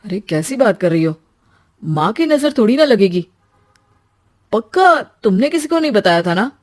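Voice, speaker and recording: A woman's voice: medium pitch, sing-song, normal speed, darkish tone, lightly acted. A woman voicing an earnest, plain-spoken young husband in a cartoon family story. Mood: exasperated.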